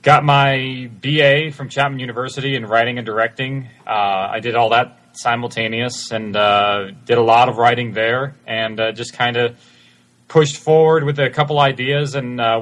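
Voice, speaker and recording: A man's voice: mid-range voice, steady tone, normal speed, fairly bright tone. A man answering questions casually as a creator being interviewed on a podcast. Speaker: interview guest, audio drama creator.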